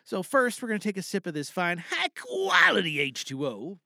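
Male podcast host, chatty and animated, bright tone, very dry close sound. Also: high voice, normal speed, vocal fry, no echo.

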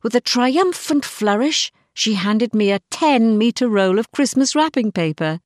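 A woman reading a scripted, diary-style short story in an expressive manner. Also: on the high side, a little slow, no room sound, studio quality. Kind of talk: scripted first-person story narration, diary-like.

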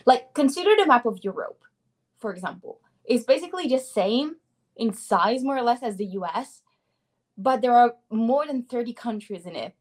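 A woman's voice: fairly high pitch, expressive, moderate pace. A woman in her twenties with an Italian accent, a native Italian speaker chatting as an upbeat interview guest. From Italy. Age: 20s. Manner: upbeat, chatty, laughs often. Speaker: guest, language learner. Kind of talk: casual interview chat.

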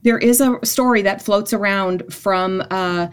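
A woman's voice: medium pitch, measured pace, smooth. A woman in her forties, a guest from events ministry, telling heartfelt stories in a casual podcast chat with frequent restarts.

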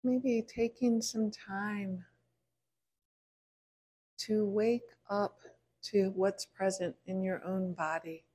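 A woman meditation teacher leading a guided body scan in a gentle, unhurried way, pausing between short phrases. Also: mid-range voice, fairly even intonation, breathy edge.

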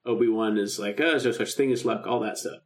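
A man's voice: lowish voice, fairly even intonation, fast talker. Casual man retelling scenes with mock dialogue. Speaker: podcast co-host. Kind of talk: casual chat about a film radio drama.

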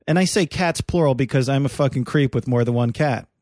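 A male comedian co-hosts a loose podcast from his apartment, talking in a rambling way full of fillers, restarts and crude asides. The sound is dry and close with no room around it, and his pitch sits in the middle for a man. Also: fairly quick, darkish tone, dry recording. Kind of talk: casual banter podcast.

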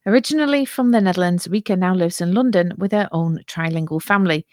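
A woman's voice: on the low side, fast, darkish tone, no echo, studio quality. A woman hosting a podcast, reading a scripted introduction to a segment. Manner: announcing, read from script. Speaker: podcast host. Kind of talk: scripted narration.

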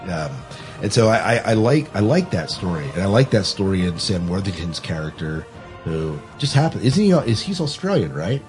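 A man's voice: low-pitched, sing-song, normal speed, darkish tone, faint music behind. A man hosting a podcast, talking casually about film in a conversational discussion. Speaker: podcast host.

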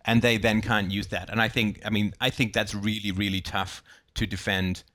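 Man, lowish pitch, informal debate, explanatory, thinks aloud with hypotheticals. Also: level tone, normal speed, sharp tone. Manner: explanatory, argues through hypotheticals. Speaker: book club discussion host.

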